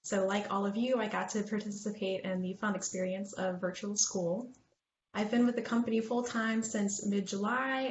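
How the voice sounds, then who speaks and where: A young woman from New Orleans, a new mechanical engineer, introducing herself in a friendly way. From New Orleans, United States. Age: young adult. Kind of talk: self-introduction in presentation. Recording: echoey room, muffled low-fi, faint hiss.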